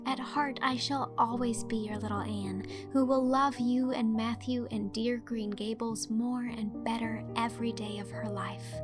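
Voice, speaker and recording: A woman voicing an affectionate, spirited teenage girl with heartfelt, expressive delivery in an audiobook drama. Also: on the high side, unhurried, hardly any pauses, music in the background, natural voice. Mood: tender, earnest.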